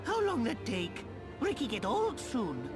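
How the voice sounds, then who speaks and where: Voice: mid-range voice, wildly swooping pitch, unhurried. An actor voicing a small, plucky, childlike creature in a video game, talking in broken third-person speech. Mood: plaintive. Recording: loud music over the voice.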